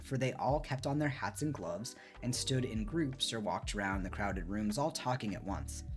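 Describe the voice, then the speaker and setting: A man with a mid-pitched, highly animated voice reads aloud for a stream. He moves between expressive narration and casual remarks, close on the mic, with soft music behind him. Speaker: streamer reading a book aloud.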